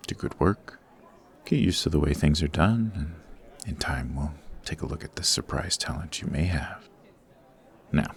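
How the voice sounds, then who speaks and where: A man playing a suave, formal supervillain boss in an ASMR roleplay, patient and exacting, with measured, instructive speech. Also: extremely low pitch, husky, exaggerated voice. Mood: intrigued, encouraging. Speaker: voice actor.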